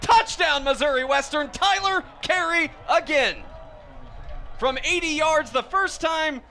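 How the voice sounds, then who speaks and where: A man's voice: very high-pitched, unhurried, creaky. An American man doing live play-by-play commentary of a football game as a radio announcer. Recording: loud outdoor stadium noise behind voice.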